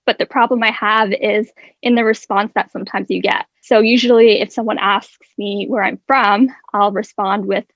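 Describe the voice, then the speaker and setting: A woman's voice: mid-range voice, fairly even intonation, moderate pace, no echo. A young woman, guest on a podcast, speaking earnestly and thoughtfully from prepared notes, with frequent "like" and restarts. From Ireland. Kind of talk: prepared personal talk. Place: podcast recording space. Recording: dry, dull lo-fi podcast audio.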